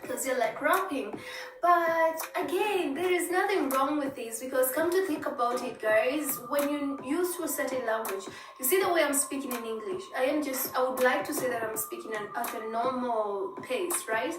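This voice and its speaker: Woman youtuber, high voice, far off in a roomy echoey space, chatty, acts out people talking. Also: moderate pace, music in the background. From Kenya. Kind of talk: explaining to camera. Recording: echoey, distant, noisy clip played back.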